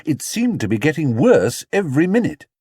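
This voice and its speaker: A man narrating a children's storybook in an expressive, theatrical way. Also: fairly high pitch, moderate pace, husky, no room sound, clean studio sound. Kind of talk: animated children's audiobook narration.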